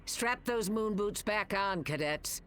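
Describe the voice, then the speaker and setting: A middle-aged woman playing a commanding, supportive hologram captain who mentors cadets in an animated game. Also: medium pitch, slow pace, noisy background. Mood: encouraging.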